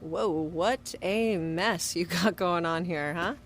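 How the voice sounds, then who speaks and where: Blunt young woman, lowish voice, slow pace, dry teasing, audio drama, noisy ambience. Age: young adult.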